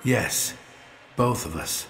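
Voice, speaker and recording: An actor playing a commanding, grim villain bargaining in a measured, grave manner in a video game. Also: male voice, fairly low pitch, fairly bright tone. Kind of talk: video game cutscene acting. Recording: roomy echo, faint music behind.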